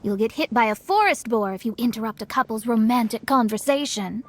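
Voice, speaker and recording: A woman's voice: a little high, brisk, faint music behind, natural voice. An actress playing a frank, knowing female companion in an anime game. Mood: cautioning. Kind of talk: anime video game voice acting.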